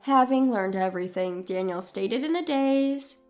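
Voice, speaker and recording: A woman's voice: fairly high pitch, moderate pace, soft background music. A woman reading a scripted first-person dramatic story expressively and with care, as its narrator.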